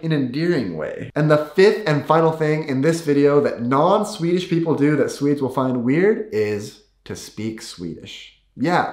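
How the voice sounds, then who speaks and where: A man's voice: mid-range voice, darkish tone. A man with an American accent talking casually to his viewers as a vlogger. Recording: roomy echo.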